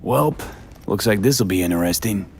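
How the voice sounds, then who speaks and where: An actor playing a gruff, sardonic male mercenary in a cyberpunk video game, speaking tersely. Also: on the low side, lively intonation, moderate pace, slight background noise. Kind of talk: video game dialogue acting.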